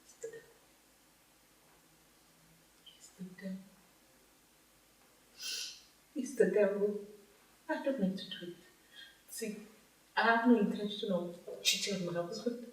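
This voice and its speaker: A woman's voice: medium pitch, normal speed, close to an everyday voice. A woman playing a distraught, remorseful wife and mother who pleads repetitively in a film melodrama. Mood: guilty, remorseful. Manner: pleading, repeating herself. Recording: echoey room, far off, music behind.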